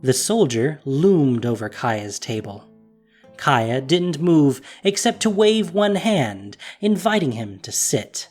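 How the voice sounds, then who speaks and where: An audio drama narrator tells a fantasy story with a lively, rising and falling delivery. The narration is scripted and expressive, with soft music underneath. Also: mid-range voice, takes it slowly.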